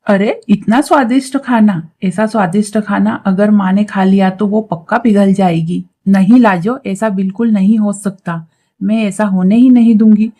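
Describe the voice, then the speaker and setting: A woman's voice: medium pitch, moderate pace, dark tone, lightly acted. A woman voicing a spiteful, scheming young crow sister-in-law in a cartoon story, sly and mocking with many exclamations. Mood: spiteful, determined. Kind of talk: Hindi cartoon story acting.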